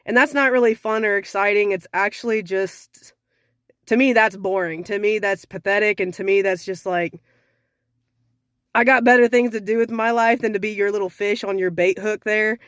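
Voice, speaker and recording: A young woman with a slightly raspy voice from sinus trouble, giving frank dating advice in a casual talk to camera. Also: mid-range voice, normal speed, a bit bright, no echo, studio quality. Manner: frank, conversational, sassy.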